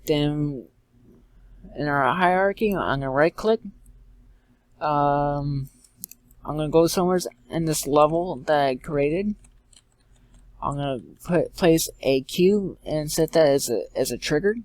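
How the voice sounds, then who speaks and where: A man giving an informal step-by-step software tutorial in a halting way, repeating and correcting himself as he goes. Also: fairly high pitch, no echo. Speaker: tutorial presenter. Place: indoors, screen-recording voice-over.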